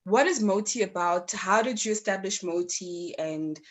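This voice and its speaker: A woman hosting an interview, chatty and excitable, laughing often as she asks questions. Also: mid-range voice, moderate pace. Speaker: host.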